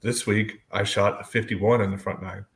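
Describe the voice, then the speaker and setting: A man's voice: lowish voice, little pitch movement, moderate pace. A man chatting casually about sports as a podcast co-host, blunt and sarcastic.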